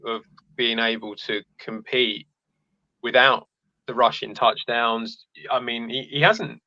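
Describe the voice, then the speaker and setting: A man's voice: mid-range voice, steady tone, takes it slowly, pushed and bright, no room sound, lo-fi sound. A man talking through sports analysis casually as a podcast panelist.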